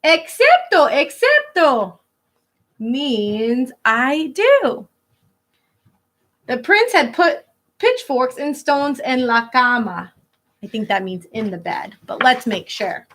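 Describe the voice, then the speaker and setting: A woman teacher reading a picture book aloud to young pupils, pausing to explain words in simple terms. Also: sits high, sing-song, a bit bright.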